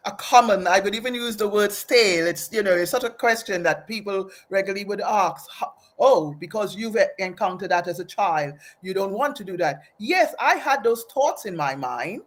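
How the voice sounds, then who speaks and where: Woman, earnest, reflective, restarts phrases, interview guest, mid-pitched voice. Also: animated, moderate pace. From Aruba, raised in Trinidad. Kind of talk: personal storytelling in an interview.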